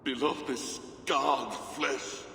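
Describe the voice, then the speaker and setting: Deranged king, theatrical game villain, creaky voice, slow delivery, echoing chamber with noise. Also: mid-range voice, steady tone, bright, forceful tone, heavily acted. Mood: haunted reverence. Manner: theatrical, halting then erupting. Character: mad scarred king raving about his inner demon. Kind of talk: dramatic video game acting.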